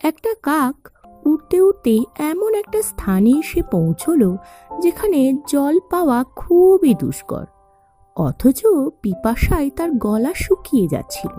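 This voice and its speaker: A woman narrates children's fables with a high, very animated voice that swings widely in pitch. She takes her time, telling each tale expressively, with faint music behind her.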